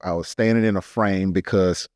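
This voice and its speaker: A man's voice: low voice, fairly even intonation, moderate pace, darkish tone, no echo, studio quality. A man with an American accent telling a personal story in a casual, earnest and emphatic podcast style. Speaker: podcast speaker, artist telling his story.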